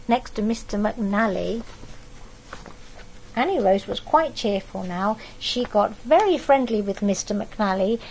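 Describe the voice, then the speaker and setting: Slow, higher-voiced woman reading a storybook. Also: slight background noise, poor audio. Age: middle-aged. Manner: expressive storytelling that pauses to comment on the pictures. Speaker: storybook reader for young children. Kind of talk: reading a picture book aloud, with asides to young listeners. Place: living room at home, indoors.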